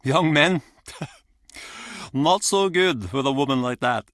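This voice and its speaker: A man's voice: fairly high pitch, a bit bright, no echo, clean studio sound. An actor playing an embittered, grieving old fisherman in a video game, blunt and theatrical in manner. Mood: wry. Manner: theatrical, blunt, halting old-salt turns of phrase. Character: gruff, embittered, grieving old Icelandic fisherman and pub regular. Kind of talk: video game character acting.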